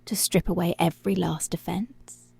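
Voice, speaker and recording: A woman playing a dominant, seductive policewoman in an ASMR roleplay, breathy and often whispering, slow, teasing and controlling, close to the microphone. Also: fairly low pitch, steady tone, warm tone, dry recording. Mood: intense, seductive.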